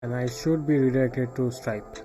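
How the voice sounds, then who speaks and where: A man from Bangladesh, thinking aloud in casual live coding commentary, halting and trailing off into quiet asides. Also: mid-range voice, fairly even intonation, soft background music. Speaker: live streamer building software.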